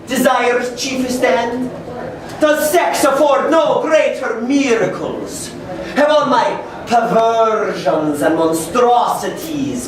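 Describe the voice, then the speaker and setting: A man's voice: extremely high pitch, exaggerated voice. A man playing a depraved, grandiose mad-scientist villain, declaiming in a campy live stage melodrama. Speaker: actor in a staged reading. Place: live venue with audience. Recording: echoey hall, distant mic, audience noise.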